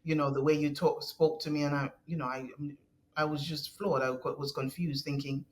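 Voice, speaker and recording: A woman guest with a deep voice, talking in an earnest, halting way with frequent restarts and soft laughs. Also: fairly even intonation. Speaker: podcast guest. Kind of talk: conversational interview.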